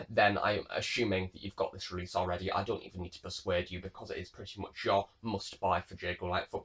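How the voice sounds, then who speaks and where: A man's voice: lowish voice, fairly bright tone. A man giving a casual video review, talking in an earnest, rambling way. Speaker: audio drama reviewer.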